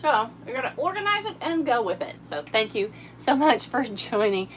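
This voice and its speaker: A woman hosting a casual livestream while tidying her RV, a little hoarse from a summer cold, chatting and thanking viewers by name. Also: on the high side, sing-song, normal speed, noisy background. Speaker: livestream host. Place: inside an RV.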